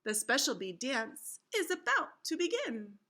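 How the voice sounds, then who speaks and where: Woman voicing an officious, high-pitched bee guard. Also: big pitch swings, measured pace, bright, forceful tone, exaggerated voice. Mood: officious, excited. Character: bee guard at the hive gate, officious, excited.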